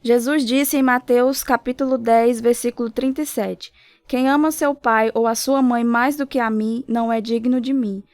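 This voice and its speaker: A woman's voice: on the high side, steady tone, moderate pace, a little mellow, no echo, studio quality, lightly acted. A woman playing a firm, caring young church friend, devout and direct, in a radio drama. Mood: earnest.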